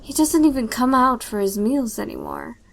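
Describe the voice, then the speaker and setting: Scolding, superstitious housekeeper, acted by a woman, higher-pitched, dramatic radio-play delivery, faint background noise. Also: normal speed. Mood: worried, ominous. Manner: fussy, dramatic. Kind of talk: old-time radio drama acting.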